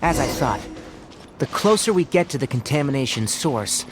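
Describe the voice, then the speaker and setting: A man voicing a composed, cautious young forest ranger in an anime-style video game, speaking in a measured, articulate way over music. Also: medium pitch, sing-song, close to an everyday voice. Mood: composed, instructive. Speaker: game character. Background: game music and battle effects.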